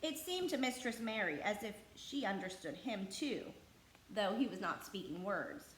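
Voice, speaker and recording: Female storybook narrator, mid pitch, expressive read-aloud, roomy echo. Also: normal speed. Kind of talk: scripted storybook narration for children. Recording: echoey room with faint background noise.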